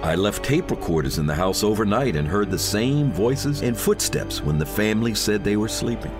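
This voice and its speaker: A man's voice: on the low side, lively intonation, moderate pace, music in the background, natural voice. A man playing a defensive, uneasy ghost hunter in an audio horror drama, earnest and grave, easily indignant. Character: defensive, solemn, uneasy male ghost hunter with thinning hair. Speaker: character actor in an audio horror story.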